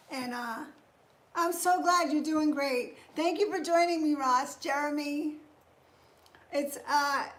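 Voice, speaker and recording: A woman's voice: high-pitched, a bit bright. A woman in her fifties hosting a casual live stream, greeting viewers by name and chatting about fitness. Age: 50s. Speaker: fitness host. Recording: echoey room.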